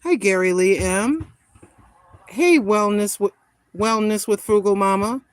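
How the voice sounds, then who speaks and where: A woman in her sixties chatting casually with viewers on a live stream, greeting them by name and talking her thoughts through out loud. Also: mid-range voice, a little slow, no echo. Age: 60s. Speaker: vlogger greeting live chat.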